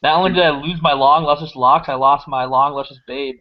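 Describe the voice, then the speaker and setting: A man's voice: fairly high pitch, steady tone, brisk. A man in his twenties chatting casually as a co-host on a video call. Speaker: podcast co-host. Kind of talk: casual chat. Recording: muffled video call audio.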